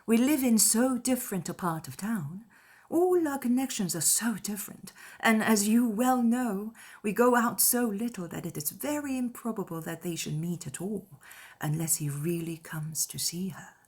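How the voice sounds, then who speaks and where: A woman voicing a kindly, sensible middle-aged aunt, breathy and measured, in a dramatized classic novel. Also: mid-range voice, lively intonation, a little mellow. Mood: cautious.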